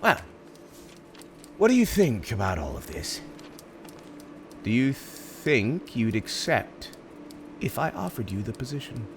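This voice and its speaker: A man's voice: mid-range voice, sing-song, slow pace, pauses often, a little hiss or hum. A man playing an urbane, smug supervillain in an ASMR roleplay, speaking in a courteous, theatrical and emphatic way. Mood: businesslike, probing. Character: urbane, smug male supervillain interviewing a prospective minion.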